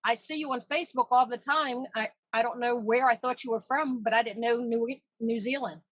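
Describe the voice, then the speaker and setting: A woman's voice: fairly high pitch, steady tone, brisk, no room sound. A woman hosting an online meeting in a casual, chatty way with self-deprecating humor, speaking over a video call. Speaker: exhibition chair hosting a virtual meeting. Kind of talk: casual welcome and chat. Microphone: tablet on a video call.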